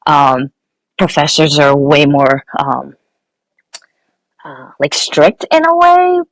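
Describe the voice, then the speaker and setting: A woman's voice: low voice, huge pitch swings, slow pace, no room sound, low-quality recording. A young woman from Nepal, a guest engineer, answering interview questions casually with many fillers and restarts.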